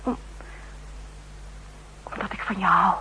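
An actress playing a fragile, frightened young woman secretly in love in a 1960s Dutch radio drama, speaking faintly and tearfully in a breathy voice. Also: medium pitch, slow, close to an everyday voice. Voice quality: breathy, whispery. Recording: noisy, humming 1960s radio recording.

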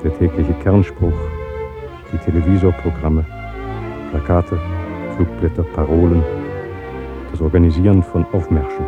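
An actor playing a wary, disillusioned party worker in a totalitarian state, with measured delivery, in a German radio drama. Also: male voice, low-pitched, fairly even intonation. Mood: grave, measured. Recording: dull 1970s radio production, faint music.

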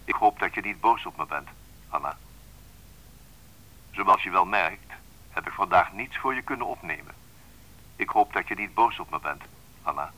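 An actor playing a possessive, teasing lover who speaks in measured, intimate phrases in a radio drama. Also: male voice, sits low, expressive, pushed and bright, heavily acted, rough recording. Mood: apologetic, measured. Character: possessive, teasing adult male lover on an answering machine.

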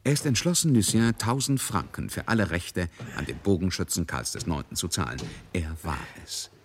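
A man's voice: lively intonation, normal speed, slight background noise. A man narrating a literary radio drama in a scripted, storytelling style. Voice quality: husky, deep.

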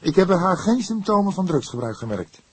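A man voicing a younger male detective in an audiobook, excitable, emphatic and sceptical. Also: high-pitched, a little fast, husky, no echo, voice pushed up, heavily acted. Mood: doubtful. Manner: incredulous, emphatic.

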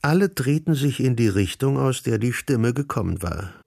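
Gravelly male radio drama narrator. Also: medium pitch, expressive, moderate pace, a little mellow, dry recording, studio quality.

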